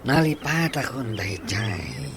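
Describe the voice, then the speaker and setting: A man's voice: lowish voice, very animated, slow pace, background noise. An actor with an Ilocano accent playing an earnest, devoted young man in a radio drama. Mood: sincere.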